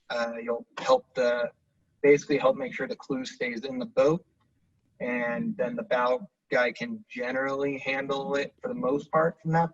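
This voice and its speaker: A man who works the mast on a racing sailboat, explaining his steps in a practical, steady way with many ums. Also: medium pitch, no echo, muffled recording. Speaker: sailing crew mast man. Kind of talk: webinar explanation. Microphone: video call.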